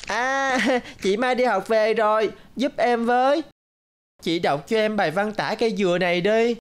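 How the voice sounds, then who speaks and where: A woman's voice: medium pitch, measured pace, clear, resonant tone, close to an everyday voice. Woman voicing an anxious little cartoon schoolboy. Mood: pleading. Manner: childlike, hesitant, fretting. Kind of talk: cartoon dialogue and inner monologue.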